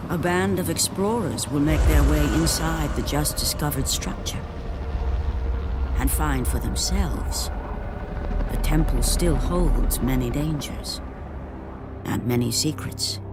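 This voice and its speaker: A solemn myth narrator for a video game, really slow and portentous, dramatic pauses, music behind. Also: male voice, fairly high pitch. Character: grave storyteller of ancient myth.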